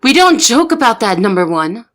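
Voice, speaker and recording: A young woman playing a soft-hearted, easily exasperated secret agent in an ASMR audio roleplay. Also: a little high, big pitch swings, moderate pace. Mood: annoyed.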